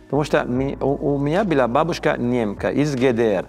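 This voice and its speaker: A man in his fifties speaks Russian with a strong Italian accent, his voice rough with creak. He stumbles and restarts words as he hunts for the right phrase, in a quiet studio interview. Faint music sits under the talk. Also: medium pitch. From Italy. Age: 50s. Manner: halting, frequent restarts and stammers, searching for words. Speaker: chef, interview guest. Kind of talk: casual interview conversation. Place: dark indoor studio set, seated in armchair.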